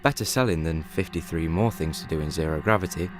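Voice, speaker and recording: A young man playing a wry, all-knowing guidebook narrator in a radio play, with measured, deadpan delivery. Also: a bass voice. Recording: music bed under the voice.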